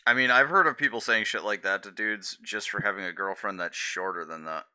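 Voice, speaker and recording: A man's voice: fairly low pitch, brisk, bright, forceful tone, clean tone. A young man chatting casually on a live stream, blunt and slangy, swearing easily.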